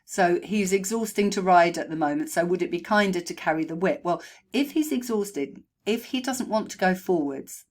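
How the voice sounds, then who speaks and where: A woman horse trainer and behaviourist, hoarse from a chest cold, talking through riding feedback in a recorded voice-over on a new microphone, chatty and full of ums and restarts. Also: fairly low pitch, expressive, normal speed, darkish tone. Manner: chatty, thinking aloud with many ums and restarts. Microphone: new home microphone.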